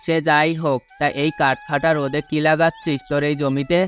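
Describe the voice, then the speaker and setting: A man's voice: fairly high pitch, level tone, a little fast, a bit bright, lightly acted. A man playing a sly, well-off village farmer in a cartoon folk tale, persuasive and condescending as he feigns kindness. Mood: mocking, nosy. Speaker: cartoon voice actor. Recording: music under the voice.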